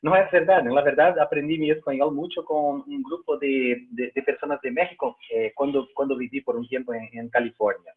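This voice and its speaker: A man whose first language is Portuguese, with a Brazilian Portuguese accent, presenting a live webinar in halting second-language speech with many fillers and restarts. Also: mid-range voice, fairly even intonation. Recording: tinny phone-like line.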